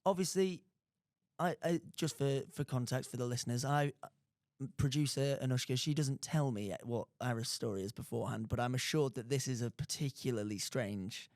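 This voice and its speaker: A man's voice: mid-range voice, moderate pace, darkish tone, dry recording. A man hosting a live radio show from a studio. He jokes with his guest and rambles with lots of restarts and fillers. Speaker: radio host. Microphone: studio mic.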